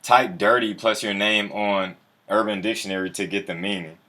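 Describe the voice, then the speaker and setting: Man, livestream host, low voice, relaxed slangy chat, profane, chuckles a lot. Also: normal speed.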